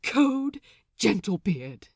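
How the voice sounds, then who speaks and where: Lady doing a dramatic young pirate guy from a story, excitable, easily exasperated, voice jumps all over. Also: medium pitch, drawn-out words, creaky, no room sound, clean studio sound, full character voice. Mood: bursting excitement. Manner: dramatic, emphatic outbursts.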